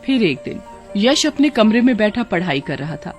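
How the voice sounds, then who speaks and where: A woman's voice: medium pitch, sing-song, moderate pace, soft background music. A woman giving scripted narration for a children's cartoon story.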